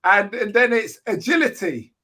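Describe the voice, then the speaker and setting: A man in his forties, co-hosting a podcast, joking and acting out his reactions in an animated way. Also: high voice, moderate pace. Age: 40s. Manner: animated, jokey, acts out reactions. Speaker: podcast co-host. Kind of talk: casual podcast conversation.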